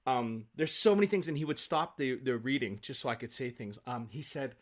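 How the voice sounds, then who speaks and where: A man in an interview, telling anecdotes with nerdy, animated enthusiasm. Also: medium pitch, fairly quick. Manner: enthusiastic, self-confessed film nerd. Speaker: guest, stage actor.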